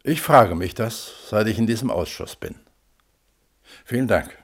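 An actor playing a sceptical, reflective panel member who questions a scientist pensively and probingly in a German radio play. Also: male voice, on the low side, expressive, unhurried.

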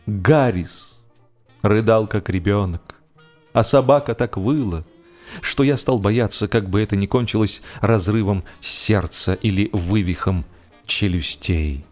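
A man narrating a humorous novel expressively, with a theatrical, deliberate delivery that draws out phrases for drama. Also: fairly low pitch, darkish tone, soft background music. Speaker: audiobook narrator.

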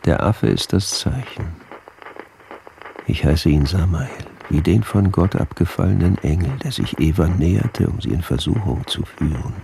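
A man's voice: low voice, expressive, normal speed, vocal fry, warm tone, slight background noise. An actor playing a deranged, fanatical doctor who declaims his diaries in solemn scriptural tones for a radio drama. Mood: brooding obsession. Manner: solemn, portentous, obsessive.

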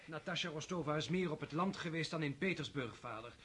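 A man's voice: fairly high pitch, animated, fairly quick, fairly bright tone, husky. An actor playing an earnest, lovestruck prince in his thirties in a radio play, fervent and sometimes hesitant. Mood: earnest, resolute.

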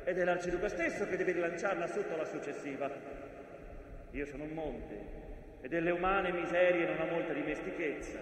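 In a long, distant stage reverb, an ancient mountain speaks through a male actor. The voice is high and projected, full of theatrical declamation. It tells its story with dramatic weight and biting irony. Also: a little fast, natural voice. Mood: mocking, ironic. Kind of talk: theatrical monologue. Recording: echoey theatre stage, distant mic, faint noise.

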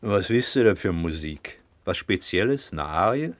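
A practical, gently mocking male companion in a radio drama. He has a fairly low voice in a dry recording and talks in a coaxing, teasing way. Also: animated, moderate pace, dry recording. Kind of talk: German radio play acting.

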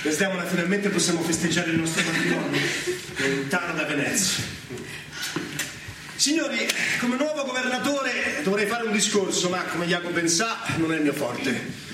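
An actor playing an ardent, plain-spoken veteran general, declaiming emphatically in a theatrical stage style. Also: male voice, high voice, lively intonation, normal speed. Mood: jubilant, commanding. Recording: noisy, very echoey distant stage recording.

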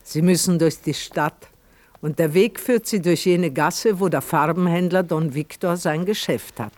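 Higher-pitched male radio play narrator. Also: unhurried, darkish tone. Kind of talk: scripted literary radio drama narration.

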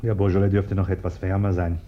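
An actor in a German radio play playing a self-important bourgeois householder, curt, pompous and nervously polite. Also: male voice, deep voice, level tone, fast talker, slight background noise, close to an everyday voice. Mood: stiffly hospitable. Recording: dull, echoey radio play recording.

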